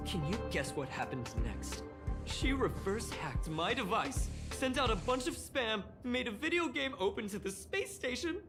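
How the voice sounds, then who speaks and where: Young sci-fi game engineer, earnest, talkative, very varied intonation, music clearly behind. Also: female voice, on the high side. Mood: sheepish. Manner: earnest, explanatory, expressive. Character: earnest, talkative young cybersecurity engineer, embarrassed but determined. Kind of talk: video game voice acting.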